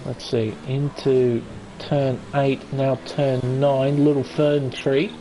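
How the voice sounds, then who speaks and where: A man's voice: mid-range voice, level tone, takes it slowly, dark tone, soft background music, poor audio. An Australian man giving chatty, enthusiastic live play-by-play commentary on an online racing league qualifying session. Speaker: esports race commentator.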